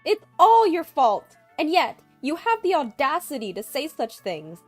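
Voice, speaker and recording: A woman's voice: high voice, sing-song, measured pace, dry recording, faint music behind, voice pushed up. A woman voicing a furious, sobbing older mother-in-law who shrieks accusations down the phone. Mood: enraged.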